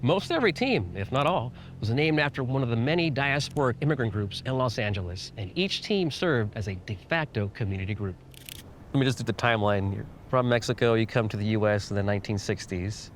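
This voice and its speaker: A man's voice: medium pitch, animated, fairly quick, a little hiss or hum. A man hosting a documentary, moving between casual interviewing and narration. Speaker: host and interviewer.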